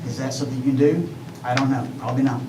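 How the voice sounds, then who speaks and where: A man giving an urgent, earnest public comment at a city council meeting, halting with fillers as he goes. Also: medium pitch, steady tone. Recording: echoey hall, distant mic, noisy.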